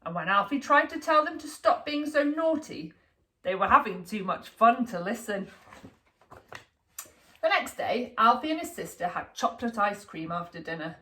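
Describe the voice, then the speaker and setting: A woman reading a children's picture book aloud in an expressive, deliberate storytelling manner for young listeners. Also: on the high side. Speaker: storybook reader, school staff.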